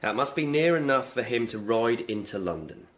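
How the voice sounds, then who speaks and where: An actor playing a dutiful Tudor coroner in a period radio drama, formal and matter-of-fact. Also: male voice, medium pitch, expressive, normal speed, close to an everyday voice. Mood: businesslike. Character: brisk, dutiful Tudor coroner reporting to superiors.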